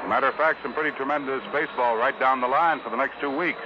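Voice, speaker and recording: A man's voice: mid-range voice, quick pace. An American man in a folksy, salesmanlike 1950s radio style, announcing as co-host of a baseball broadcast. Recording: noisy muffled 1950s radio broadcast recording.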